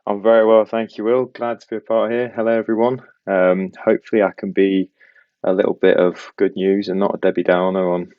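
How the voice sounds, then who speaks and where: A man's voice: lowish voice, flat delivery, fairly quick, no echo, muffled recording. A young man who grew up in Belgium, with an international English accent carrying faint West Midlands traces, chatting casually and self-deprecatingly as a podcast guest.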